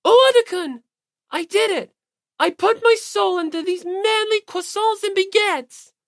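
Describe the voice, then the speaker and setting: A woman's voice: very high-pitched, a little slow, no room sound, studio quality, voice pushed up. A woman voicing a sweet, earnest, delicate teenage boy in an audiobook. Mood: eager, happy. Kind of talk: audiobook character acting.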